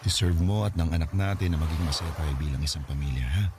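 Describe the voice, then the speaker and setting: A man playing a devoted and playful young groom-to-be in a radio drama, warm, teasing and sweet-talking. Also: a bass voice, quick pace, noisy background. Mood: loving. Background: background music.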